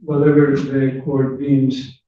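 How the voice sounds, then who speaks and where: A landlord speaking to the judge in a courtroom hearing, halting and slow, restarting his phrases. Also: mid-range voice, monotone. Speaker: landlord, plaintiff. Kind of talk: courtroom testimony. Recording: very echoey room, distant, muffled, faint noise.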